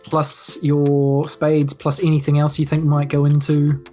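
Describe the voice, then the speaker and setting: A woman's voice: low-pitched, monotone, measured pace, mellow. A woman with a New Zealand accent running a casual tabletop game as game master in an easygoing way. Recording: music playing behind the voice. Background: background music.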